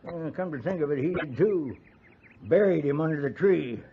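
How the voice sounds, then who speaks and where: A man's voice: a little high, animated, moderate pace. An actor playing a spry, boastful old frontiersman with a folksy American Western drawl, in a 1950s radio Western. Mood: boastful, amused. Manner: folksy drawl, rambling, chuckling, chatty. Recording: dull, hissy 1950s radio recording.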